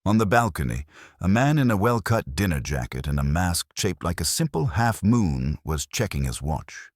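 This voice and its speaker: A man with a very deep voice narrates scripted detective fiction. His delivery is wry and hardboiled, moving through a lively range of pitch, and the sound is dry and close. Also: normal speed, no echo. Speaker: audiobook narrator.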